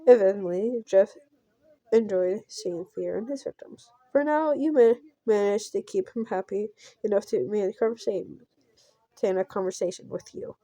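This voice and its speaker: A woman's voice: mid-range voice, normal speed, warm tone, no echo, rough recording. A woman reading a visual novel aloud as a casual playthrough, stumbling over and repeating words as she goes. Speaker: game player narrator.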